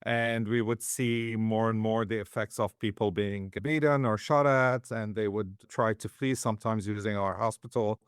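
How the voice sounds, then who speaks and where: A man from Syria, a guest, recounting his humanitarian health work in calm, measured interview answers. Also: on the low side, clear, resonant tone, dry recording, studio quality.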